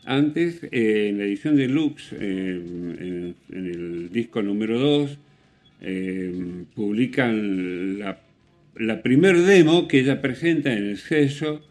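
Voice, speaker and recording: A man with an Argentine accent talks as a radio host about music. His voice sits on the low side and his delivery is very slow, halting and rambling. He is opinionated and casual, often searching for his words. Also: animated, a little mellow. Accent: Argentine Spanish. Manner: rambling, hesitant with self-corrections and rhetorical questions.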